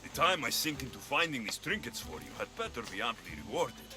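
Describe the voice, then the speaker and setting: A man's voice: medium pitch, sing-song, brisk, over music, lightly acted. An actor playing a cocky, sardonic male mercenary in a video game cutscene. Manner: dry, sardonic, bargaining. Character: cocky, wry male mercenary in ancient Greece.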